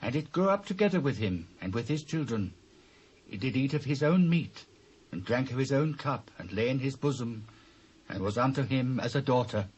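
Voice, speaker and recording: A male actor plays a grave prophet of ancient Israel with a British accent. His medium-pitched voice is measured and declamatory. He speaks with solemn authority in a scripture drama. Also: expressive, darkish tone, slight background noise, natural voice, rough recording. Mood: solemn storytelling. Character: solemn, stern prophet speaking God's judgement. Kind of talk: dramatised Bible reading, British stage acting.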